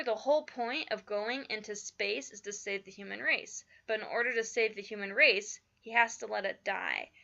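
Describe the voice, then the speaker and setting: A woman talks through a writing lesson in a casual, filler-heavy way, her voice slightly croaky from just waking up. Her tone is bright and a little high. The recording is somewhat dull and lo-fi. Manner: chatty, many fillers like "like" and "you know". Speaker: writing teacher.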